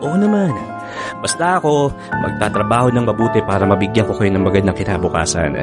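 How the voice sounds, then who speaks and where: An actor playing a young Filipino boyfriend in a radio drama, speaking earnestly and expressively. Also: male voice, on the low side, fairly quick, close to an everyday voice. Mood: tender, earnest. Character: devoted young boyfriend Ivan, playful, later irritable. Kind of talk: Tagalog radio drama acting with narration. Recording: music bed behind the voice.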